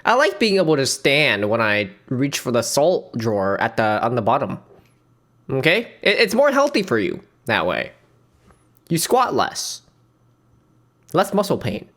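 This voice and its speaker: A male streamer talks through a dating show clip in a loose, joking, rambling way, his pitch jumping all over as he riffs. He keeps breaking into laughs. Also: wildly swooping pitch, unhurried, long pauses, a bit bright. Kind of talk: joking reaction commentary.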